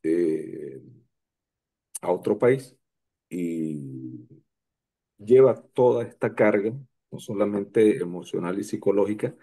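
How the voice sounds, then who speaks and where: Slow, gravelly man, halting speech. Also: on the low side, expressive, warm tone. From Colombia, raised in Venezuela. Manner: hesitant, many restarts. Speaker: conference participant. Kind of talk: slow, halting reflective remarks.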